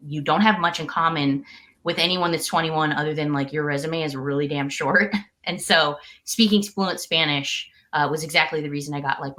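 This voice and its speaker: A woman's voice: low voice, a bit bright. A woman in her thirties from the United States, a podcast guest talking casually from home over a call, chatty and laughing often.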